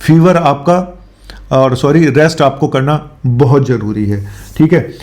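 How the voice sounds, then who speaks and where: A man with a medium-pitched voice gives informal medical advice as a doctor hosting a show. He talks conversationally to viewers, mixing in English medical terms and often checking in with short tag questions. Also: measured pace, darkish tone. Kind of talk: informal health explainer talk to viewers.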